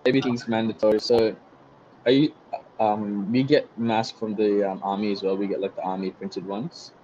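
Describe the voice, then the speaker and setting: A young man with an Australian accent, a guest chatting casually on a video call, relaxed and rambling. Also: on the low side, moderate pace, a little hiss or hum. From Singapore. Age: young adult. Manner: relaxed, rambling with many likes and you-know-what-I-means. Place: small bright room indoors. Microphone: headset. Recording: dull lo-fi video call audio.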